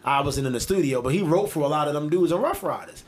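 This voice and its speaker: Philly podcast co-host, male, higher-pitched voice, animated, profane, storytelling. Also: fast. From Philadelphia. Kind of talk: casual chat.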